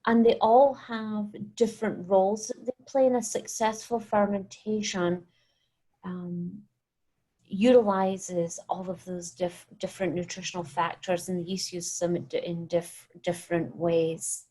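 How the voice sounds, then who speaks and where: A woman from Scotland with a Scottish accent, a guest scientist on a webinar, explaining topics clearly and fluently from home over a video call. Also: lowish voice, little pitch movement, a little slow, warm tone, rough recording.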